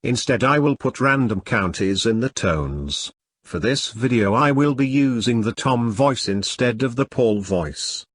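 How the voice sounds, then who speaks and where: A man narrates a script in a stiff, literal way. His mid-pitched voice moves up and down a lot, and the sound is very dry with no room around it. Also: expressive, measured pace, fairly bright tone, breathy edge, no echo. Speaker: video narrator.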